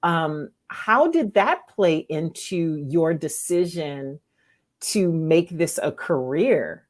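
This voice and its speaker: Low-voiced woman hosting a podcast. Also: expressive, takes it slowly. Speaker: podcast host. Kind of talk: interview hosting.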